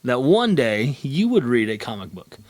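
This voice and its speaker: Male channel host, enthusiastic and casual, with very lively, swooping pitch. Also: moderate pace. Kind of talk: casual chat, interviewing a co-host.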